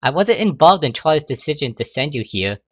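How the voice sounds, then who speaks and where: A man playing a doting, calm unicorn mother who coos and reassures her daughter in a story reading. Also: on the high side, brisk, no echo, highs cut off. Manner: cooing, reassuring.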